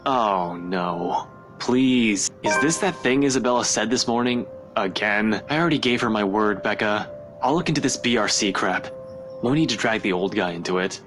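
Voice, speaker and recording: A woman's voice: rumbling low, normal speed, over music, voice pushed down. A woman voicing an evasive, worried young man in a visual novel, speaking in a guarded, pleading way.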